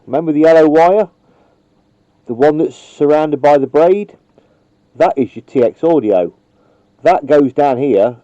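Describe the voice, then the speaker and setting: A man's voice: mid-range voice, mellow, no room sound. A man casually demonstrating how to wire a radio microphone, rambling and chatty, with frequent ums and the odd mild swear word. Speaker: radio hobbyist demonstrating wiring. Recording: dull, lo-fi home video sound.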